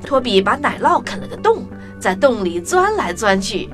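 A woman's voice: fairly high pitch, big pitch swings, normal speed. A woman narrating a children's story expressively. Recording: music under the voice.

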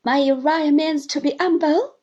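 A woman reading aloud, voicing an anxious older mother who pleads in a high, imploring voice. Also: normal speed, darkish tone, highs cut off, lightly acted. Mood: pleading.

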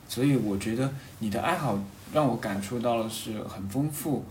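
A man in a casual, reflective conversation, halting and rephrasing his thoughts as he goes. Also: medium pitch. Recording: roomy echo, faint background noise.

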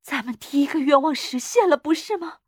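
A grief-crazed old wife from a storybook, acted out by a woman. Her voice is high and shrieking, with breathless, frantic urging and fierce demands. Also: expressive, a little fast, darkish tone, no echo, clean studio sound. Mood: fanatical.

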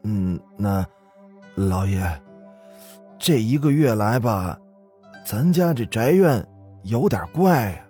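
Deferential male steward for horror audio, acted by a man: nervous, halting, stammering delivery. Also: on the low side, animated, warm tone, faint music behind. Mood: uneasy. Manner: hesitant, stammering.

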